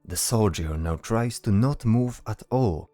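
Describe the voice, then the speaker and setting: Male narrator, fantasy audio drama. Low, soft-toned, dry recording with faint music. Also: expressive, measured pace, no echo. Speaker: narrator, storyteller. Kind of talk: scripted fantasy story narration.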